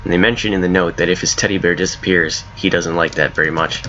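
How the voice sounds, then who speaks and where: A hoarse man casually talking through his own game mod as he plays, rambling and correcting himself as he goes. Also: deep voice, fairly even intonation, fast, pushed and bright, lo-fi sound, slight background noise. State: hoarse from a cold. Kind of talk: casual gameplay commentary.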